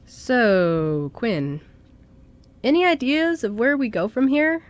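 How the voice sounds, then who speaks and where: A woman's voice: on the high side, very animated, takes it slowly, dry recording, pitched above the performer's own voice. A woman playing a sassy, casual young male ghost in an amateur radio play. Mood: puzzled, casual. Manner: casual, flippant.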